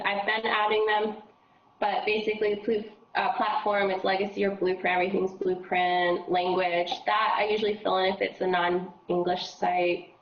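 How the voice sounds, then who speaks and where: A woman with a froggy voice leading a casual software training session, explaining steps with lots of fillers. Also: mid-range voice, echoey room, highs cut off, a little hiss or hum. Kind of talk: casual screen-share training.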